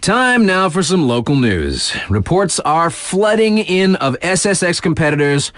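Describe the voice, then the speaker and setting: A man's voice: on the high side, sing-song, a little slow, fairly bright tone, no echo. A man playing a laid-back, wisecracking radio DJ in a video game, reading local bulletins in a casual, mock-serious way. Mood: breezy, mock-serious. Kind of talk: breezy radio news bulletin, ad-libbed asides.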